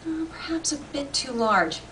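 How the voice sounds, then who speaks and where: A woman's voice: high voice, animated, a little slow, voice pushed up. A woman voicing a shrewd female antique dealer with a hesitant, understated manner, in an audiobook reading. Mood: guarded, casual. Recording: distant mic, faint room noise.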